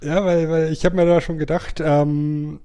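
A man's voice: a little high, flat delivery, unhurried, dark tone, clear, resonant tone. A middle-aged man, co-hosting a podcast in an informal, explanatory way. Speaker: podcast co-host. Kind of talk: casual podcast chat.

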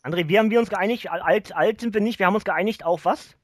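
A man's voice: sits high, fast talker, no echo, studio quality. A man chatting casually as a podcast host. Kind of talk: casual nerd chat.

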